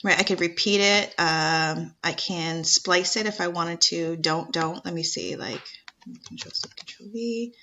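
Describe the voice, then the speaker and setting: A woman teacher talking students through a screen-share software tutorial in a chatty, encouraging way. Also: lowish voice, a little slow, a little hiss or hum.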